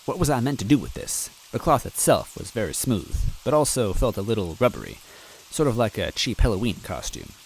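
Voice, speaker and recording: A man narrating a story in first person in a wry, measured way. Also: lowish voice, sing-song, gravelly, a little hiss or hum, poor audio. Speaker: story reader.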